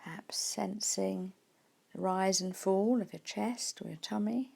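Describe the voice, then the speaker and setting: A woman guides a mindfulness meditation as a teacher. Her mid-range voice has a noticeable creak to it. She speaks gently and without hurry, leaving soft pauses between phrases. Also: mid-range voice.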